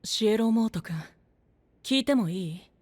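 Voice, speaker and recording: An actor playing a soft, curious, boyish young knight with a breathy voice and a gentle, polite manner in an anime-style voice drama. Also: male voice, high voice, expressive, a little slow, no room sound.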